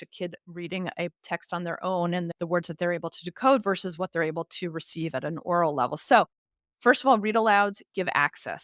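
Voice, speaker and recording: A woman's voice: fairly low pitch, a bit bright, no echo, clean studio sound. A woman giving explanatory interview answers as an expert guest, speaking in an articulate, emphatic, teacherly manner. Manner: articulate, emphatic, teacherly, uses examples and lists. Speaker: expert guest, literacy educator and author.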